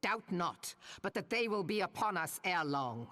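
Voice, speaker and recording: An actress playing a stern, commanding pirate admiral, delivering a solemn rallying speech in archaic nautical language for a video game. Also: female voice, fairly low pitch, big pitch swings, fairly bright tone. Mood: grave, resolute. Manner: solemn, oratorical, measured. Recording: long echoing hall reverb.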